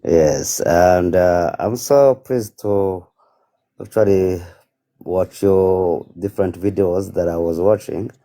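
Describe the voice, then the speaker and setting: A man calling in to a live stream, talking casually and haltingly as he searches for words. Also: low-pitched, warm tone. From Africa. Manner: halting, searching for words, non-fluent phrasing. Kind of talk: casual, unscripted political talk.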